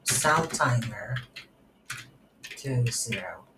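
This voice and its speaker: A woman giving an unscripted screen-recorded coding tutorial, thinking aloud in halting short phrases. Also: a bass voice, animated, pushed and bright. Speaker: tutorial instructor. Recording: roomy echo, audible background noise.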